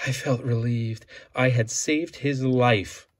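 A man's voice: medium pitch, fairly even intonation, slow. A father reading a children's picture book aloud, bringing the characters' quoted lines to life as he goes. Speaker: father reading to his son.

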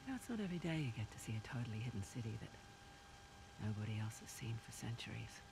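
Adventurous woman treasure hunter, casual, wry, lively intonation, video game drama, noisy ambience. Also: very deep voice, quick pace, exaggerated voice. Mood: wry, teasing. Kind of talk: naturalistic cinematic game acting. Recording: clear ambient noise behind voice.

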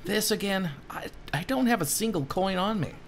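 A man's voice: sits high, lively intonation, moderate pace, a little hiss or hum, pitched above the performer's own voice. A man voicing an earnest, cheeky young male stowaway hero in an adventure game. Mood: protesting.

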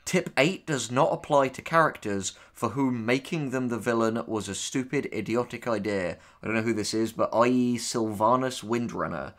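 Male co-host, mid-pitched, conversational and argumentative, thinking out loud in casual critique. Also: normal speed, hardly any pauses. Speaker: podcast co-host and audio drama writer.

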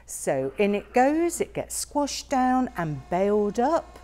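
A woman guiding a warehouse tour, explaining each area in a slow, plain way. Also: mid-range voice, big pitch swings, dark tone, a little hiss or hum. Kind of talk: guided tour narration.

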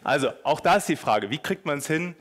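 A man's voice: fairly high pitch, expressive, a little fast, fairly bright tone. A man, giving an informal, playful conference talk and putting questions to his listeners. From Germany (Hanover). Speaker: keynote speaker, professor. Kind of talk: lively conference talk to an audience. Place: large hotel conference room. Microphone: headset.

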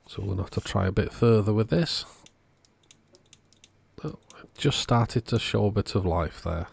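Male repair-channel host, low creaky voice, casual step-by-step narration, dry close sound. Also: lively intonation, moderate pace, a little mellow.

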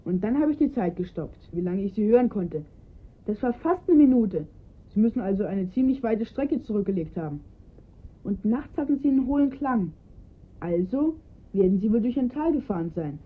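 Earnest young boy in husky, phone-like sound played by a woman. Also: mid-range voice, expressive, moderate pace, lightly acted. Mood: eager, precise. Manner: earnest, precise, detailed recounting. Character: bright, observant young boy, earnest kidnap witness. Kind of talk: German radio crime drama acting. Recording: muffled phone-like band-limited sound.

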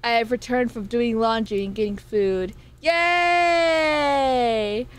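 A woman's voice: a little high, slow pace. A young woman from California, a streamer chatting casually with her viewers. She has a slightly nasal voice, swears freely and teases her chat. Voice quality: self-described nasal. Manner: playful, swears, talks to chat.

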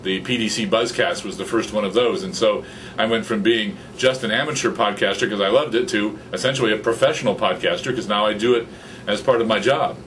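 A man's voice: fairly low pitch, brisk, a little hiss or hum, rough recording. A man in his fifties, a podcaster, chatting animatedly in an office interview, recorded on a studio microphone. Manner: animated, gestures a lot, gets excited. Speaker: podcaster and guest. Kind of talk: casual interview chat. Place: small office with computers. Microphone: studio condenser mic on stand in front.

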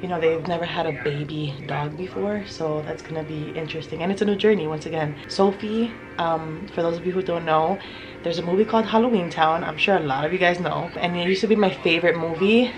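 A woman's voice: lowish voice, fairly quick, music in the background, poor audio. A woman in her thirties chatting casually to her phone in her home kitchen, bubbly and rambling, with little giggles. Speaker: family vlogger. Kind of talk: casual vlog chat. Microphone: phone at arm's length.

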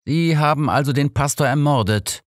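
A man's voice: fairly high pitch, moderate pace, no room sound, studio quality, pitched above the performer's own voice, natural voice. A man voicing an old master detective in an audiobook, earnest, formal and troubled. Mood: earnest, regretful. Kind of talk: audiobook character reading.

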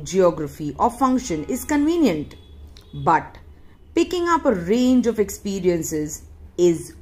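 A woman reading a newspaper article aloud in deliberate phrases with frequent pauses. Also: mid-range voice, a little mellow. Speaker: audiobook reader.

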